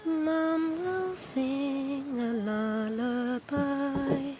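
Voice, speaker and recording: A woman playing a gentle parent in an audio drama, tenderly singing a soothing lullaby to a child. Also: high voice, fairly even intonation, drawn-out words, loud music behind the voice. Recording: noisy ambience behind the voice.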